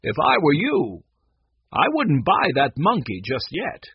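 A man voicing a kindly old grandfather in a storybook reading, with a calm, gentle, reassuring manner. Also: a little high, a little slow, husky, no echo, highs cut off, full character voice. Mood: calm, firm.

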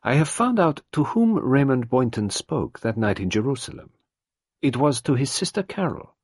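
Man reading as a grave older detective, quiet, gentle, husky, lively intonation. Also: mid-range voice, moderate pace, no echo, studio quality, pitched above the performer's own voice.

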